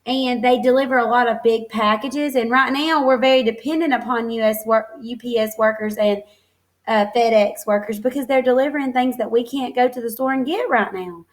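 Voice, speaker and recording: A woman teacher reading a picture book aloud to young children, expressive and encouraging, pausing to explain things simply and ask questions. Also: a little high.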